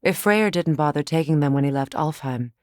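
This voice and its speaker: A woman playing a composed, grave goddess and warrior companion in a video game, speaking in measured, clipped remarks. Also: sits low, lively intonation, a little mellow, no echo, studio quality. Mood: somber, reflective.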